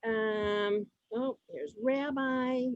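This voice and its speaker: A woman's voice: on the high side, fairly even intonation, drawn-out words, no room sound, lo-fi sound. A woman hosting an amateur comic readers' theater, moving between scripted lines and ad-libs. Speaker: host of a comic contest.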